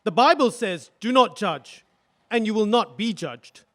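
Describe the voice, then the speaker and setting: A man playing a husband testifying in a courtroom radio drama, answering quietly and hesitantly. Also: high voice, lively intonation, a little slow. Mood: remorseful. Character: husband witness, subdued and remorseful. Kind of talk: audio drama acting.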